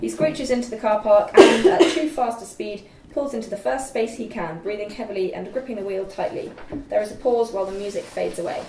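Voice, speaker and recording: A woman's voice: mid-range voice, fairly quick, few pauses. Young woman narrating in an echoey room. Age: young adult. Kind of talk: scripted narration, reading screenplay directions aloud. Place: room at a group table read. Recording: distant echoey room, faint noise.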